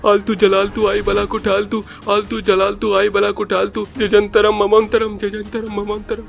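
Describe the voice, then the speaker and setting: A man's voice: high-pitched, monotone, unhurried, creaky, soft background music, heavily acted. A man voicing a jittery young male college student who complains and stammers in panic in a cartoon horror story. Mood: panicked.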